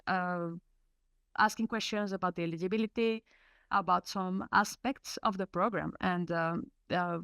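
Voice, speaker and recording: Woman from Colombia with a low gravelly voice. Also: fairly even intonation, moderate pace, fairly bright tone, no room sound. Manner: hesitant, many fillers and restarts. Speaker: research program presenter. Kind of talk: webinar presentation. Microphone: video call.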